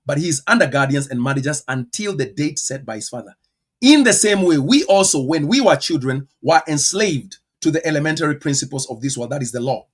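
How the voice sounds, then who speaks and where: A man with a Kenyan accent preaching a teaching sermon, stressing words and firing rhetorical questions, with sudden loud bursts and quiet asides. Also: a little high, lively intonation, fairly quick, husky. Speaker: pastor.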